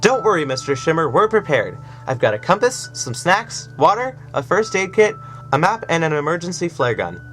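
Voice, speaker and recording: A man's voice: on the high side, big pitch swings, moderate pace, pitched above the performer's own voice. A man voicing a shy, earnest nine-year-old girl who mumbles. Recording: soft music behind.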